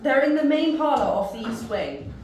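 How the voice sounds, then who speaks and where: A woman's voice: sits high, lively intonation, normal speed, a little mellow, heavy reverb, a little hiss or hum. A woman voicing an exasperated, brusque male army sergeant.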